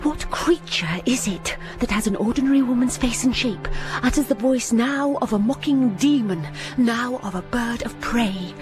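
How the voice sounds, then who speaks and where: Casting: actress as a restrained, earnest governess, slightly high voice, music behind. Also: female voice, normal speed, hardly any pauses, close to an everyday voice. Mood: troubled. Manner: polite, restrained, steady. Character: composed, earnest young governess. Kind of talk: radio drama acting.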